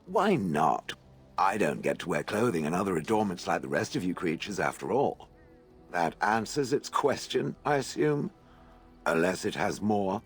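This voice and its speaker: Game casting: a towering stone golem, very low and husky, snide, vain and condescending. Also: male voice, very animated, normal speed, soft background music, heavily acted. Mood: sardonic, haughty. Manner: dry, condescending, calls listener "it". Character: huge sardonic stone golem, haughty and vain. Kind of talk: video game voice acting.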